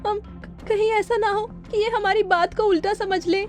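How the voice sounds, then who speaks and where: Woman acting a very high, fretful little companion. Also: steady tone, normal speed, soft background music. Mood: frightened. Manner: earnest, fretful.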